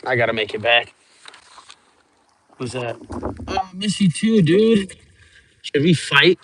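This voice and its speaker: Cuban guy, stoned and rambling, lots of swearing, streaming live from a boat on a phone, a bit higher-voiced. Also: sing-song, slow, a bit bright, a little hiss or hum. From Cuba. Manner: profane, rambling, stoned banter with viewers. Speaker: livestreamer. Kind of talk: rambling livestream chat. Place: outdoors on open water in a small boat.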